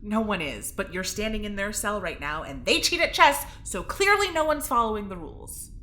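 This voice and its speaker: A woman's voice: medium pitch, very animated, moderate pace, sharp tone. A woman playing a blunt, sardonic young prisoner in an audio drama, curt and dismissive.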